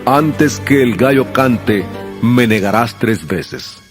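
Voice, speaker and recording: A solemn male prophet and teacher played by an actor in an audio Bible drama. He speaks deliberately, with sorrow and authority, at a middling pitch over clearly heard music. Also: lightly acted.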